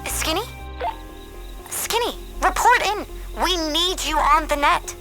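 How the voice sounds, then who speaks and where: A woman's voice: extremely high pitch, animated, takes it slowly, over loud music, heavily acted. A woman playing a bossy, urgent teenage girl with a clipped, commanding manner in an audio drama. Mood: brisk, impatient.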